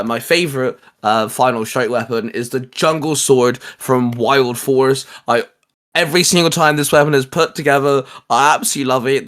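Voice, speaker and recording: A man's voice: mid-range voice, a bit bright. A man co-hosting a fan discussion show, talking casually and enthusiastically about geeky favourites in a loose, hedging way. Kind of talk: casual geek chat, ranking discussion.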